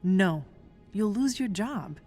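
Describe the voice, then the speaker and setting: A woman's voice: medium pitch, lively intonation, slow, dark tone, faint music behind. An actress playing a determined, loyal young woman in a video game, speaking in a steadying, reassuring way. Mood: concerned. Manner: reassuring, resolute. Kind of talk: naturalistic video game voice acting.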